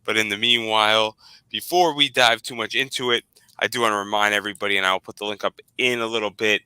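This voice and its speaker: A man's voice: fairly low pitch, steady tone, fast, sharp tone, clean tone, no echo, low-quality recording. A man in his twenties hosting a casual video-call chat, rambling and repeating words. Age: 20s. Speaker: podcast host.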